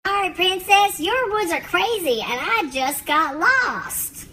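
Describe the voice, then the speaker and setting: A woman's voice: very high-pitched, lively intonation, takes it slowly, pushed and bright, heavily acted. A woman voicing a lost, sad male storybook bunny with a folksy, plaintive way of talking. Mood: sad, sheepish. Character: lost sad male bunny, whiny country delivery rabbit.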